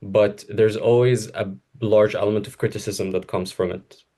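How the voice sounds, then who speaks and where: A young man with a fairly low voice, chatting casually as a co-host. He is from Egypt and his first language is Arabic. He rambles through restarts and laughs a lot. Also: fairly even intonation, normal speed, warm tone. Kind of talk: casual conversation.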